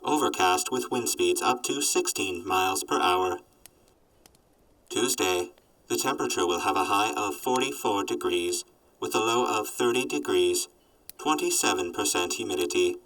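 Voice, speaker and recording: A man voicing a sardonic robot companion in an audio drama, reporting in a formal, clipped deadpan. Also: lowish voice, normal speed, far from the mic, poor audio. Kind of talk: post-apocalyptic audio drama acting.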